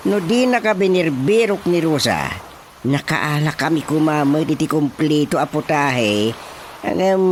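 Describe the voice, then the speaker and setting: Man acting a high-pitched, grumbling Filipino village father. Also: slight background noise. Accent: Ilocano Filipino. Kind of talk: Ilocano radio drama comedy acting. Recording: radio drama with music underneath.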